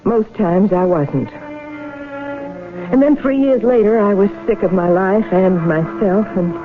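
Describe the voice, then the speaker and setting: An actress playing a weary, guarded young waitress and farm wife in a wistful 1970s radio drama. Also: female voice, medium pitch, unhurried, lightly acted. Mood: wistful, confessional. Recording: tinny 1970s radio broadcast, music behind.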